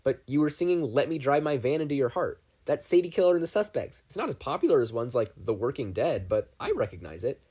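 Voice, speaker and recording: A reader voicing a relaxed teenage girl who teases casually. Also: medium pitch, fast talker, few pauses, slightly rough. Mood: teasing.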